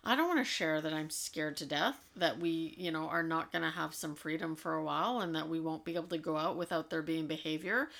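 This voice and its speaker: Low, gravelly-voiced woman co-host. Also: a bit bright. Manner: candid, quick, laughs easily. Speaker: podcast co-host. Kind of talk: casual chat.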